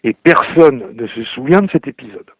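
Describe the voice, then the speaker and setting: A man giving measured political commentary, hesitating now and then as he explains. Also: medium pitch, expressive, dull audio, crowd noise.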